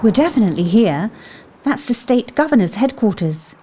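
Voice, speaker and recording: A mellow-voiced, mysterious female investigator played by an actress in an audio drama. She is calm and perceptive, thoughtful and questioning, with very varied intonation. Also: on the high side, normal speed, a little hiss or hum. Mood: thoughtful, curious. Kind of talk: scripted audio drama acting.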